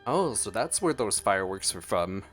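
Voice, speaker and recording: A man's voice: fairly low pitch, very animated, normal speed, over music. A man giving casual reaction commentary as he plays a video game.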